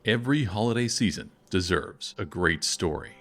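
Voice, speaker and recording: A man announces a trailer in a low voice. The read is scripted and dramatic, set over clear music. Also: animated, normal speed, a bit bright. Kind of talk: scripted promotional trailer narration.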